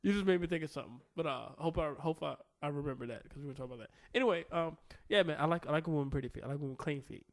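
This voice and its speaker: Give me a young man from Richmond co-hosting a comedy podcast, a bit high-voiced, laughing a lot as he rambles. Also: very fast, few pauses. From Southside Richmond, Virginia. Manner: laughs often, rambling with restarts. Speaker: podcast co-host. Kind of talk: casual comedic chat.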